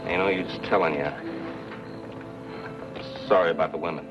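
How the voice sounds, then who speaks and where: An actor playing a grave, weary American pioneer, speaking tersely and haltingly in an old radio western. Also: male voice, deep voice, steady tone, natural voice. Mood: somber, regretful. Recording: dull old radio recording with music.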